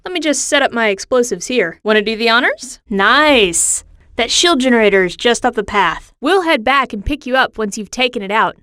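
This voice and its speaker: An actress playing a confident, sassy young adventurer in a cartoon game, a wry and encouraging mission guide. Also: female voice, on the high side, lively intonation, normal speed, fairly bright tone, clean tone, dry recording, clean studio sound. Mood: upbeat, brisk. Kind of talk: video game character acting.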